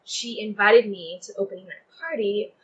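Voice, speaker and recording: A woman's voice: mid-range voice, normal speed, lo-fi sound. A young woman chatting casually as an interview guest, speaking in an effusive, emphatic way peppered with "like". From Texas, United States. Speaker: playwright guest.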